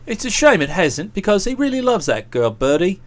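A man voicing an aggrieved, aloof young English gentleman in a storybook, speaking with cold, pompous sarcasm. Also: high voice, very animated, moderate pace, pitched below the performer's own voice. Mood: musing, drawling.

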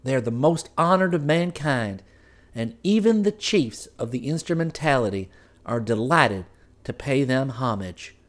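A man's voice: mid-range voice, animated, a little slow, pitched above the performer's own voice, lightly acted. A man voicing a proud, devoted young wife, raising his voice well above his own and reciting praise like a well-worn creed. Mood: proudly reciting. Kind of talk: audiobook character voice.

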